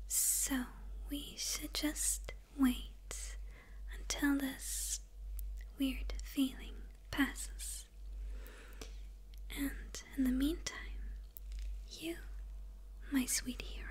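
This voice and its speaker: A high, whispering supervillainess acted by a young woman, menacing and teasing yet clearly smitten. She murmurs very slowly and haltingly, close to the mic, as in an intimate ASMR roleplay. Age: young adult. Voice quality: breathy whisper. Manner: slow, hesitant, halting murmur with pauses. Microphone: close microphone.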